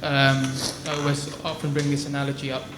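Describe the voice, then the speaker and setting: A man's voice: medium pitch, completely flat delivery, fairly quick. A man, an artist, talking at a public panel, hesitant with many stumbling restarts. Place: art gallery talk before an audience. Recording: echoey gallery with background noise.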